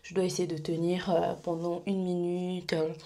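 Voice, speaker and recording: A woman's voice: fairly low pitch, level tone, moderate pace, mellow. A young adult woman vlogging casually to camera, rambling with fillers and restarts. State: stuffy from pollen allergy. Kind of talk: casual vlog chat to camera. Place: bedroom at home, then small residence gym.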